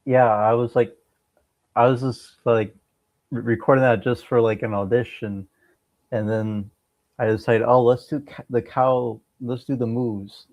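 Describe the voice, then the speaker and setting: A man answering as a guest in a casual interview, halting and often repeating words mid-sentence. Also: medium pitch, fairly even intonation, no room sound, highs cut off. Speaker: interview guest, amateur musician.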